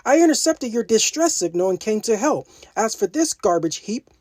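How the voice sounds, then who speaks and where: A woman's voice: fairly high pitch, big pitch swings, normal speed, a little mellow, no echo, clean studio sound, voice pushed up. A woman voicing a bold, wry, confident female superhero in a children's storybook. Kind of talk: animated children's storybook dialogue.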